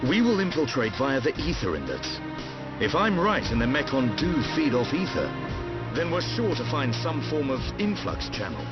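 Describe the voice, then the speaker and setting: An actor playing a serious, seasoned warrior leader in a video game, speaking tersely and with resolve. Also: male voice, medium pitch, huge pitch swings, normal speed. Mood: resolute. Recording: muffled game audio under loud music.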